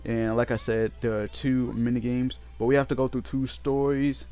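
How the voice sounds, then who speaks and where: A man giving casual, unscripted game commentary in a halting way, often repeating and correcting himself as he goes. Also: medium pitch, level tone, mellow. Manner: halting, repetitive, self-correcting. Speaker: let's play host. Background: game music.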